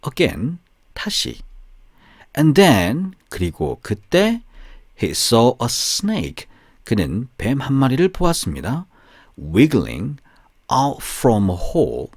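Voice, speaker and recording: A man reading a storybook for language learners in short, deliberate phrases, alternating with translations. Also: mid-range voice, dry recording, clean studio sound. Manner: slow, deliberate, pausing between short phrases. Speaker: narrator of bilingual audiobook.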